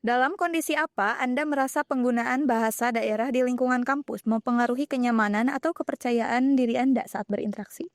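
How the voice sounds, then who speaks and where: A woman's voice: fairly high pitch, fairly even intonation, brisk, few pauses, no echo, clean studio sound. A young woman as interviewer, reading out formal questions plainly. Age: young adult.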